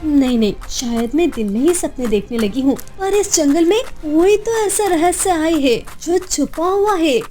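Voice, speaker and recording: A woman's voice: sits high, animated, unhurried, hardly any pauses, warm tone, a little hiss or hum, voice pushed up. A woman voicing a timid, curious orphan village girl in a cartoon story, anxious and stammering, often pleading. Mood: bewildered. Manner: stammering, repeats words anxiously.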